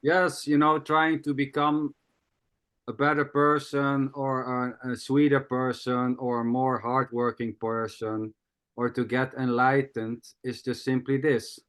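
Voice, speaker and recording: Calm man explaining spiritual ideas. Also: mid-range voice, measured pace, clean tone. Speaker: host. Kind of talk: spiritual teaching talk. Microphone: video call.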